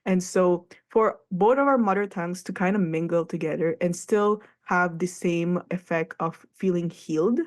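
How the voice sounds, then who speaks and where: A woman's voice: lowish voice, moderate pace, hardly any pauses. A young woman from the Philippines hosting a radio talk show, chatting in a loose, reflective way and laughing often. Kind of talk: casual radio conversation.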